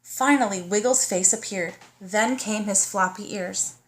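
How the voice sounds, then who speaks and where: A woman's voice: medium pitch, poor audio. A woman narrating a children's storybook as a teacher would, in a child-directed manner that invites the young listener in. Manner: child-directed, pausing to ask the listener questions. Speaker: teacher reading aloud to kindergartners. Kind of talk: storybook read-aloud narration.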